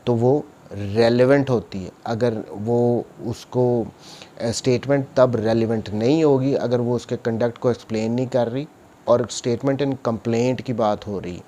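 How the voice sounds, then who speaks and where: A Pakistani man delivers an explanatory law lecture in a mellow, relaxed voice of medium pitch. He is didactic, setting up questions and answering them himself. English legal terms are mixed through his speech. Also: unhurried, slight background noise. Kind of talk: explanatory lecture, reading statute aloud and explaining.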